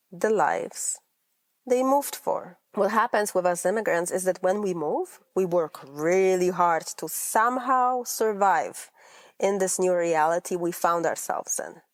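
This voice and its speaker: A woman in her thirties with a Polish accent, whose first language is Polish, speaks in a low-pitched voice. She is a life coach hosting her own podcast, talking in an earnest, personal way and rolling her R's. The recording is dry and close. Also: dry recording. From Poland. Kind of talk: scripted podcast monologue.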